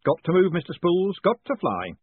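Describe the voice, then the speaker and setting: A man voicing a brisk, cocky young conman turned postmaster who speaks firmly and breezily. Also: sits high, big pitch swings, slightly rough, dry recording, pitched above the performer's own voice, full character voice. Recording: tinny phone-like sound, dry.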